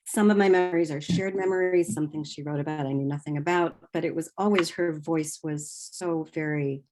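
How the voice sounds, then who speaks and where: A woman's voice: sits low, fairly even intonation, fairly quick, a little mellow, slight background noise. A woman speaking informally as a panelist, sharing personal reflections. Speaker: writer, panelist.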